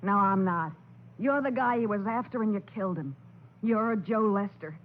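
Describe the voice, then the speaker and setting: An actress playing an excitable, frightened sideshow gorilla trainer with slangy, ungrammatical speech in a 1940s radio crime drama. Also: female voice, medium pitch, normal speed, lightly acted. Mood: accusing. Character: jittery, uneducated female sideshow gorilla trainer, suspicious and frightened. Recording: muffled, noisy old 1940s radio recording.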